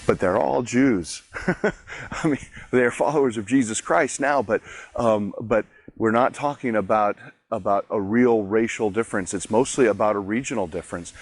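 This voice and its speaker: A man's voice: on the low side, normal speed, husky. A man, a pastor, giving a conversational daily devotional, explaining a Bible chapter with frequent restarts.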